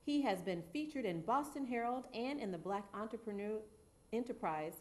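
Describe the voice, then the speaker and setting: A woman's voice: on the high side, expressive, normal speed. A woman hosting a talk show from a TV studio, reading formal guest introductions and asking questions with encouraging murmurs.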